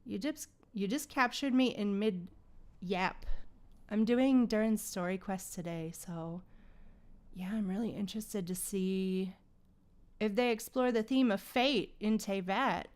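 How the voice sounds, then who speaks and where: A woman's voice: mid-range voice, unhurried. A woman streaming a game, chatting casually with viewers in gamer slang. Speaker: game livestreamer. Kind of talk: casual livestream chat.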